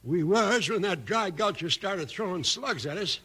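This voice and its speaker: An actor playing a wounded, grateful frontier husband with an American Western accent in a 1950s radio drama. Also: male voice, high voice, expressive, measured pace, bright, forceful tone, slightly rough, full character voice. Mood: grateful, strained. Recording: slightly noisy old radio recording.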